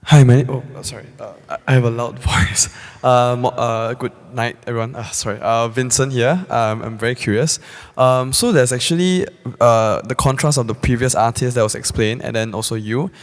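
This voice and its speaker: A man's voice: mid-range voice, steady tone, normal speed. A man speaking from the audience, asking questions informally in a rambling, apologetic way. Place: indoor public hall. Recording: echoey hall with long reverb.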